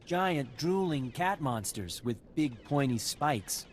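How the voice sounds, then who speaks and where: A man's voice: medium pitch, lively intonation, takes it slowly, a little hiss or hum. A man playing a complaining, sarcastic research assistant in a video game. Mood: aggrieved, sarcastic. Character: whiny, sardonic male research assistant.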